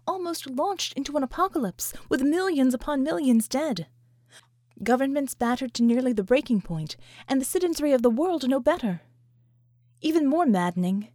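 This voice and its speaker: A woman's voice: high voice, warm tone, no echo, clean studio sound. A woman reading scripted fiction as an audiobook narrator.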